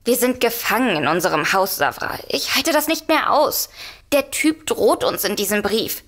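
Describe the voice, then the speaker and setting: A woman's voice: a little high, animated, normal speed, fairly bright tone. A woman playing a frightened, worried novelist sister in an audio drama. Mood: anxious. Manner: worried, hesitant. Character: anxious, frightened sister, a novelist under threat. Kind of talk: German audio drama acting.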